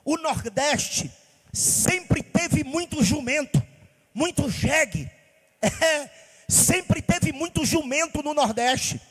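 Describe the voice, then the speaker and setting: A male pastor from Bahia, his voice pitched very high as he preaches in a rising, sing-song cadence and hurls rhetorical questions at his listeners. His sermon rings out with a long reverb, as if in a large church hall. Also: lively intonation, slow, fairly bright tone. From Bahia, Brazil.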